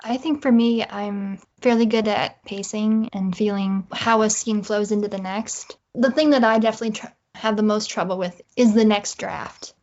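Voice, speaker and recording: A woman's voice: medium pitch, fairly even intonation, moderate pace, few pauses, darkish tone. A woman chatting casually as an interview guest, self-mocking and acting out her own thoughts as little quotes. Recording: dull, lo-fi audio.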